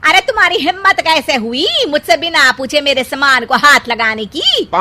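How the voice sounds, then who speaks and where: A woman voices a quick-tempered middle-aged mother-in-law in a cartoon family drama. Her voice is high and sharp, with sarcastic, indignant exclamations. Some noise sits behind the recording. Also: animated. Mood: angry, bossy. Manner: theatrical, taunting, indignant exclamations. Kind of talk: dramatized Hindi moral story dialogue.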